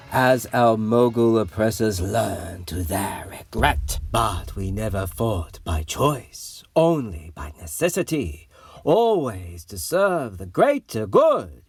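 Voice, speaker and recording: Male actor as cold, proud warlord for an audio drama, grandiose and deliberate, with highly dynamic delivery over music. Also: husky, heavily acted. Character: proud, genetically superior warlord captor, cold and imperious. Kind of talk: dramatic audio comic acting.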